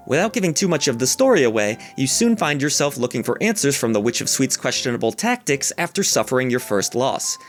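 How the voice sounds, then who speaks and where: A man reading a scripted video game review as its narrator, over background music. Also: mid-range voice.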